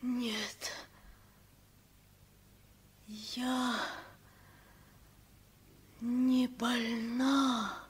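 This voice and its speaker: An actress playing a frail, frightened old lady with a halting, breathy voice in a vintage radio drama. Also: a little high, little pitch movement, a little hiss or hum. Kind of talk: 1960s radio drama acting.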